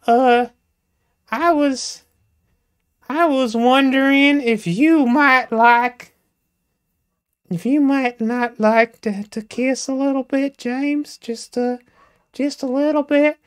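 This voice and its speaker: A woman's voice: high-pitched, fairly even intonation, slow, long pauses, smooth, pitched above the performer's own voice. Woman doing a coy genteel young lady. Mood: coy shy. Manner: old-fashioned genteel, hesitant and coy. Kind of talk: comic storytelling.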